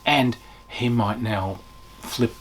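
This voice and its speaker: A man reviewing a tabletop game in a casual, unscripted way, animated and chatty as he explains. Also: mid-range voice, slow, a little hiss or hum.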